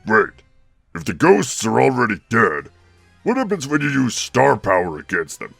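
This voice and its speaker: Casting: male actor as a gruff monster villain, gravelly and forceful, mocking, casually comic cartoon dialogue, dry close sound. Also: mid-range voice, normal speed, no echo, faint music behind, exaggerated voice. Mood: puzzled. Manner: snide, mocking, casually deadpan. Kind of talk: comedic cartoon fan-dub dialogue.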